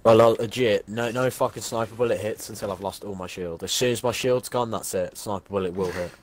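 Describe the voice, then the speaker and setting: A man streaming a game, chatting casually with a teammate, sarcastic and quick to swear about the game. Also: lowish voice, fairly even intonation. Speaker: gaming streamer. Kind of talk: casual gaming chat.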